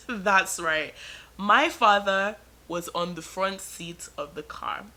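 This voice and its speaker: Bright-voiced woman telling a dramatic story. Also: mid-range voice, slow pace. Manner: animated, dramatic storytelling with laughter. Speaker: vlogger. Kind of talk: storytime narration.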